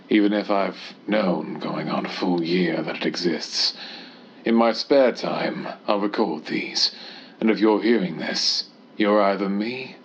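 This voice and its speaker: A man playing a cold, scheming scientist who dictates secret experiment logs in a measured, disdainful, clinical manner, as an audio horror monologue. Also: low voice, vocal fry, fairly bright tone, a little hiss or hum, heavily acted. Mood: secretive, brooding. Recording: dull, muffled lo-fi tape-style recording.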